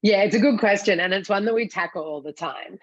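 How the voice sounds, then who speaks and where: A woman's voice: medium pitch, no echo, muffled recording. A woman in her thirties with an Australian accent, answering interview questions in an articulate, explanatory way. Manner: articulate, explanatory, quick to laugh. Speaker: CEO of a cannabis education site.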